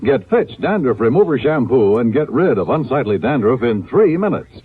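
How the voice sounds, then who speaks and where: A man's voice: mid-range voice, big pitch swings, normal speed, slightly rough. A man announcing in 1950s radio commercial style, with an emphatic, polished sales delivery. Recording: tinny, narrow old radio recording.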